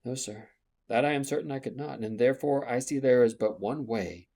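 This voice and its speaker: A man reading an audiobook, voicing a resolute young woman governess in a quiet, firm voice. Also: medium pitch, a little fast, natural voice.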